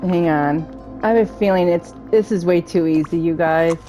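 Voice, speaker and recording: A woman's voice: on the low side, normal speed, warm tone, faint music behind. A woman giving casual let's-play commentary, thinking aloud as she plays and talking to the game's characters. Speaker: gaming streamer narrating her play.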